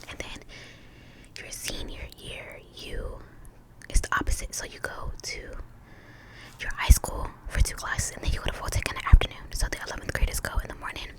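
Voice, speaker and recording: A woman's voice: normal speed, rough recording. Whispering young American woman, ASMR. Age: 20s. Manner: chatty, long-winded, repeats words softly. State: pregnant and short of breath. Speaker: ASMR video host. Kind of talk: whispered ASMR storytime, casual chat.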